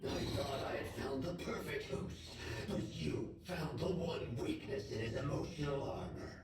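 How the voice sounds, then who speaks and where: An actor voicing a menacing, gloating nightmare monster in a video game, with theatrical, taunting, drawn-out declarations. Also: mid-range voice, close to an everyday voice. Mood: sinister. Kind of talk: video game villain acting. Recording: echoing, distant effect over music.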